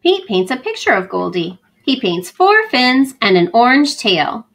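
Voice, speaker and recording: Woman, school read-aloud host, high lively voice, warm teacherly asides. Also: a little slow. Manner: gentle, animated reading with teacherly asides to children. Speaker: school librarian reading aloud. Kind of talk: children's read-aloud storytelling. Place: home.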